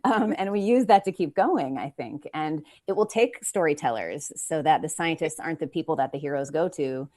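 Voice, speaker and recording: A woman with a low voice talks as a panelist in an informal online discussion. She is emphatic and likes to act out little imagined lines to make her point. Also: lively intonation, normal speed, hardly any pauses, darkish tone. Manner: emphatic, acts out short imagined quotes. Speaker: panelist, playwright. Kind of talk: informal panel discussion over video call. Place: indoors, online video call.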